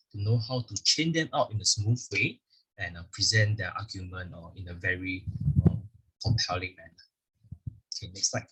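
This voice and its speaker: A low-voiced man with a Singaporean English accent walks an online audience through a school curriculum. His voice is very husky and breathy. He explains step by step, filling pauses with "uh" and "actually". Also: a bit bright, low-quality recording. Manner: explanatory, leans on "actually" and fillers. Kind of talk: virtual curriculum presentation with slides. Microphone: video call microphone.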